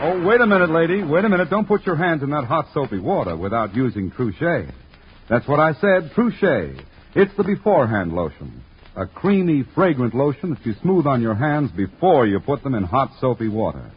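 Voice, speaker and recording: A man's voice: mid-range voice, very animated, fairly quick, breathy edge. A man announcing on 1940s radio, reading scripts in an emphatic, formal, salesmanlike way. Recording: dull, scratchy 1940s radio recording.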